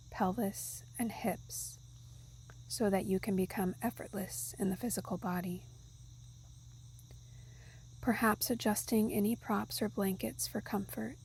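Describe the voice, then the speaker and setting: A woman guiding a meditation in a soft, soothing, breathy, half-whispered voice. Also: mid-range voice, a little hiss or hum, rough recording. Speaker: yoga nidra guide. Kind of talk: guided meditation narration.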